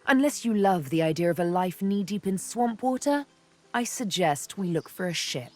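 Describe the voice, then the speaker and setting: A woman's voice: medium pitch, animated, moderate pace, darkish tone, lightly acted. A woman voicing a sardonic, vengeful elf huntress for a video game, with arch, teasing, theatrical phrasing. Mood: sardonic, grave. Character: sardonic, vengeful female elf, former slave turned huntress. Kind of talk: video game character dialogue. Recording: background music under the voice.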